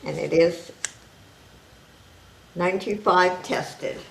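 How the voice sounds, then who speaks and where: Lady with a loud voice selling rings, slow, echoey room, faint hiss. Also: fairly low pitch, vocal fry. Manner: loud, slow and deliberate, item by item. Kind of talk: casual live-stream selling.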